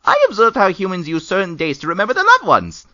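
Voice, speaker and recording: A woman's voice: medium pitch, wildly swooping pitch, fast, bright, forceful tone, no echo, muffled recording. Woman playing a wildly swooping, grandiose cartoon skeleton. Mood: eager. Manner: grandiose, declamatory, exclamatory. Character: vain, excitable, theatrical male skeleton, childlike and grandiose. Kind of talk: animated comic dub acting.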